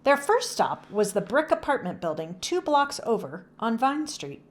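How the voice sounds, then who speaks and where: A woman reading a children's story aloud as narrator, in an expressive, animated storytelling manner. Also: fairly high pitch, normal speed.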